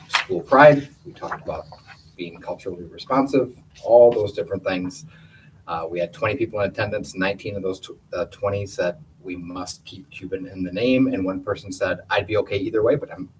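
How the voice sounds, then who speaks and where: A man's voice: low voice, moderate pace. A man giving a plainspoken, conversational report at a school board meeting in a meeting room. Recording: distant room mic with faint hiss.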